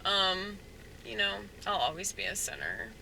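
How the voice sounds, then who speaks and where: A man rambling in a soft-spoken, halting way, full of fillers, as a stand-up comedian musing aloud. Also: high voice, huge pitch swings, gravelly, a little hiss or hum, rough recording. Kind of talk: rambling casual monologue.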